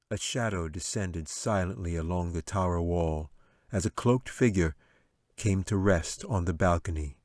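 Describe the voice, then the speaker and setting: A man with a low voice narrates a fantasy adventure as its author. The recording is dry and close, with a scripted storybook delivery. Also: darkish tone, dry recording. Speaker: author reading own audiobook.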